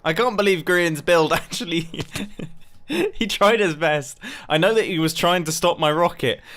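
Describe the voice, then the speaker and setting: A young man giving casual, excitable commentary over a video game, laughing often and joking about himself. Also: fairly high pitch, normal speed. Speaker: gaming YouTuber.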